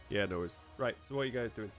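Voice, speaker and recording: A man with a British accent, casually bantering as game master in a teasing, sarcastic way. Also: mid-range voice.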